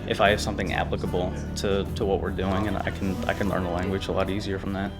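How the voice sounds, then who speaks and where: A young man student talking quickly and stumbling with repeated words. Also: low voice, little pitch movement, over loud music. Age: young adult.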